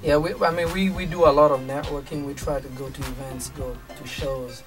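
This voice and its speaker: A man's voice: mid-range voice, fairly even intonation, a little fast. A man from Kenya, a music producer and songwriter, chatting casually as an interview guest and repeating phrases for emphasis. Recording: slightly distant mic, music behind.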